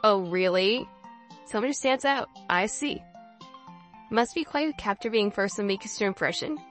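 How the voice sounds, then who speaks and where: A woman voicing a polite, thoughtful, inquisitive young engineer in a visual novel, with formal, measured phrasing. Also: mid-range voice, soft background music, lightly acted. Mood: pleasant, curious. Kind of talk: visual novel character dialogue.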